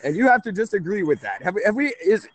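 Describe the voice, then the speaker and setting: A man hosting a conversational call-in radio show, halting and often interrupting himself. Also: sits high, very animated, dry recording. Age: 30s.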